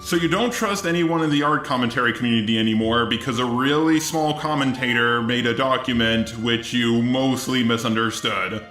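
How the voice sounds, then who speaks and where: A man's voice: medium pitch, normal speed, few pauses, a bit bright. A man delivering argumentative scripted commentary in a sarcastic, indignant manner. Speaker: commentary video host. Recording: roomy echo with music underneath. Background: music.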